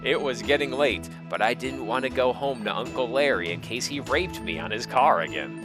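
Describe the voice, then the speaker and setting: A man's voice: mid-range voice, animated, normal speed. A man narrating a comic dramatic reading of fan fiction in a mock-serious, theatrical way, with music behind him.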